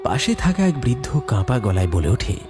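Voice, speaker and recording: A man's voice: medium pitch, very animated, a little fast. A man narrating a horror story in a dramatic, scripted storytelling style. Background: loud music.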